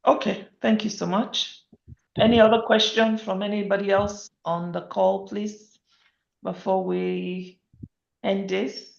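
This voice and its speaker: A woman with a creaky, mid-pitched voice moderates an online group meeting. She speaks informally and somewhat hesitantly, in brief phrases. The sound is roomy and dulled by the call. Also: flat delivery, slow pace. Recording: roomy, dull call audio.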